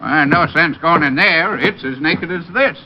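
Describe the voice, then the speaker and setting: A man's voice: fairly high pitch, measured pace. An actor playing a chuckling, folksy old American night watchman with a drawl, in vintage radio character style. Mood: gleeful, gossipy. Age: older. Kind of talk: 1940s-50s radio character acting. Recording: dull, noisy vintage radio recording.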